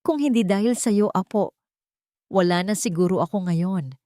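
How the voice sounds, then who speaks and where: Gentle, resolute old grandmother, acted by a woman. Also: mid-range voice, moderate pace, no echo, studio quality, pitched above the performer's own voice, close to an everyday voice. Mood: tearful gratitude. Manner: gentle but firm.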